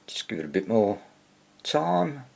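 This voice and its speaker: A man giving casual, hands-on repair commentary as he hosts a video, thinking aloud in a husky voice. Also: on the low side, big pitch swings, unhurried. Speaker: vintage radio repair hobbyist hosting video.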